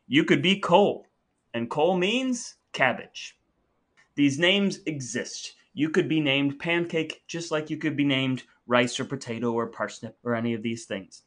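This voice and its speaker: Male podcast co-host, bright forward tone, casual storyteller. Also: on the high side.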